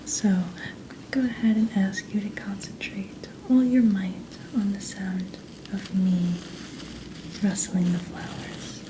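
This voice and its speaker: A woman speaking in a breathy whisper, gently and soothingly, as a medical examiner in an ASMR role-play. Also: medium pitch, steady tone, unhurried, noisy background.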